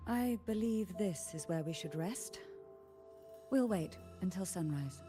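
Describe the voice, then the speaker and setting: An actress voicing a composed, enigmatic noblewoman in a video game, recounting grim old secrets in measured, formal, faintly teasing speech. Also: fairly low pitch, lively intonation, warm tone. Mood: calm, reflective. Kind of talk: scripted video game dialogue. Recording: game music behind the voice.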